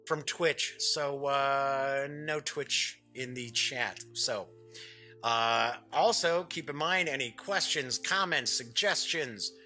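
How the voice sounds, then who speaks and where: Bright-voiced man hosting a livestream. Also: a little high. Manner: rambling, informal, folksy slang. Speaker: host of a gaming livestream show. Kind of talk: conversational livestream chat.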